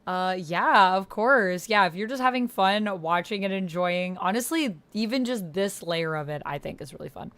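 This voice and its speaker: A woman's voice: mid-range voice, animated, moderate pace, hardly any pauses, fairly bright tone. A woman hosting a tabletop roleplay livestream, chatting casually and thinking aloud. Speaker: livestream host and tabletop player. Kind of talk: casual livestream chat, reading out typed roleplay.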